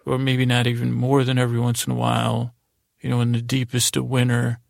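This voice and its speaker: An American man hosting a bedtime podcast in a gravelly, creaky voice, with a soothing, meandering, halting delivery full of stammered restarts. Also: medium pitch, completely flat delivery, dry recording, studio quality. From United States. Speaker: podcast host. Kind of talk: rambling bedtime-story podcast intro.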